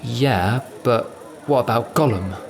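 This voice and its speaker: A man's voice: medium pitch, a little slow, creaky, over music, voice pushed up. A man voicing a blunt, defiant young woman adventurer in an audio story.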